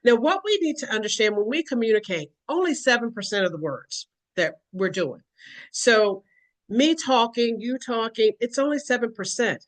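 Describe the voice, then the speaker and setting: Woman, educator, earnest explanatory talk, acts out examples. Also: a little high.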